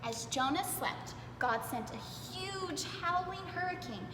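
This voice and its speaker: Lady doing preschool storytime, high playful voice, really roomy reverb and noise behind her. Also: unhurried. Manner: playful, expressive, emphasizes word lists. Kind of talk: animated children's read-aloud. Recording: echoey reverberant room with clear background noise.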